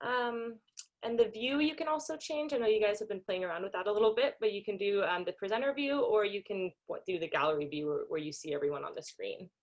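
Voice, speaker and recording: A woman's voice: medium pitch, fast talker, lo-fi sound. Woman hosting a casual video-call welcome. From Denmark, raised in Singapore and the US. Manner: informal, many ums, small laughs. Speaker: conference organizer and host. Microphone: computer microphone on a video call.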